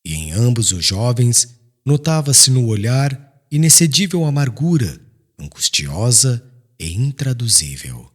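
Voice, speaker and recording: A man's voice: mid-range voice, sing-song, a little slow, breathy edge. A man narrating an audiobook in a solemn, emotive and formal literary style. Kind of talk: dramatic scripted literary narration.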